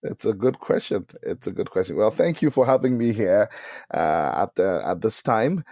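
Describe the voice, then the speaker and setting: A man's voice: fairly low pitch, expressive, normal speed, warm tone, no room sound. Ghanaian man recounting, dry close sound. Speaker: podcast guest, ministry leader and preacher. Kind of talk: conversational personal storytelling.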